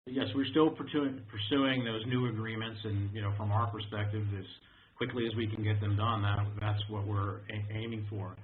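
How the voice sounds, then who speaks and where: A man answering analyst questions as a company executive, speaking in a measured, halting way with repeated words. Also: fairly low pitch, fairly even intonation. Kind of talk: unscripted corporate question-and-answer. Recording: noisy narrow-band conference call.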